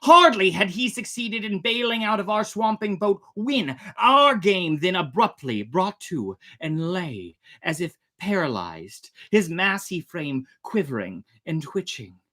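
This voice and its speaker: A storyteller reading a tale aloud dramatically, theatrically swelling and hushing. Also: mid-range voice, big pitch swings, a little slow, few pauses. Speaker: storyteller, host.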